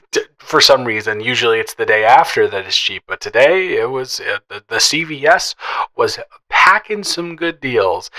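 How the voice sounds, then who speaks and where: Guy playing a teasing best friend type, bright voice, kinda shy n stammery, flirty audio roleplay, chatty. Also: fairly low pitch, rough recording. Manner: playful, teasing, halting with stammers and chuckles. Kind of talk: improvised, conversational romantic audio roleplay.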